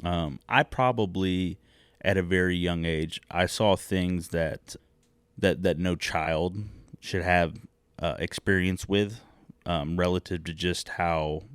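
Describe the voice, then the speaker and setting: A man with a notably low voice, raised in China, speaks as a podcast guest. He is measured and reflective, pausing on fillers and restarting his phrases. The sound is close and dry, with no room around it. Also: smooth, dry recording. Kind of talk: reflective personal storytelling.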